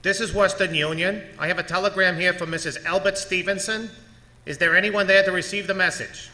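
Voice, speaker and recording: An actor playing an impersonal telegram clerk in a radio drama, reciting in a formal, mechanical, official manner. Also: male voice, high-pitched, steady tone, brisk, pushed and bright. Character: impersonal telegram company clerk reading a message. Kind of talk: old-time radio drama acting.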